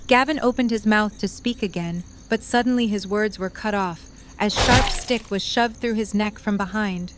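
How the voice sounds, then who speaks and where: A woman's voice: medium pitch, moderate pace, few pauses, very noisy. A woman reading scripted story narration as the narrator of an audio drama.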